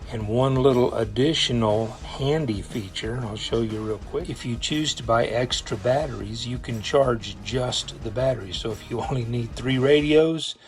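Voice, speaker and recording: A man giving a casual hands-on product demonstration in a plainspoken, step-by-step explanatory way. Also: mid-range voice. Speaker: product reviewer.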